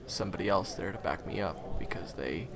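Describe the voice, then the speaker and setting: A man playing a gentle, humble ex-hunter turned guide in an audio roleplay, speaking hesitantly and softly with frequent pauses. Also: low-pitched, noisy background. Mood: somber, confessional. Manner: hesitant, soft-spoken, halting with pauses. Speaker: monster hunter's handler in a fan audio roleplay. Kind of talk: intimate conversational audio roleplay acting.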